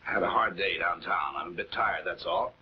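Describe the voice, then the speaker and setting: A man's voice: low voice, normal speed, natural voice. An actor playing a weary, genteel man of the house in a 1940s television crime drama. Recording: muffled old 1940s film soundtrack with hiss.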